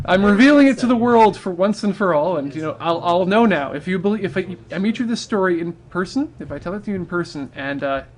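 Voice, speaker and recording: High-pitched man telling casual stories. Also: moderate pace, slight background noise. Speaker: storyteller on a charity livestream. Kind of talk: casual humorous storytelling.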